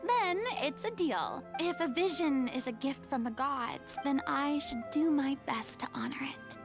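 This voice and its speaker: An actress voicing a theatrical former god turned young stage actress, speaking with formal, eloquent diction. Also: female voice, high voice, lively intonation, measured pace, music in the background.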